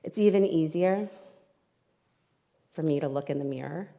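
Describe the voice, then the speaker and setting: A woman's voice: sits low, normal speed, creaky, dark tone. A woman as guest speaker, giving a candid, emotional, personal inspirational talk into a microphone at a spiritual centre. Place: spiritual centre hall, livestream. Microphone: microphone in front of her. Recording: long reverberant hall sound.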